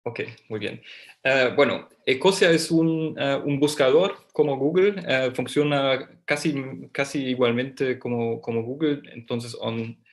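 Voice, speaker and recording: A man's voice: medium pitch, measured pace. A man who is not a native Spanish speaker, a company founder speaking as a guest with an Argentine-learned accent and searching hesitantly for words. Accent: Argentine Spanish, learned as a second language.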